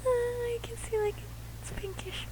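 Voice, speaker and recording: High-voiced young woman gaming. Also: fairly even intonation, measured pace, noisy background. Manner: slangy, informal. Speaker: gamer playing a story quest. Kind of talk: casual offhand playthrough commentary. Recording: game music behind the voice.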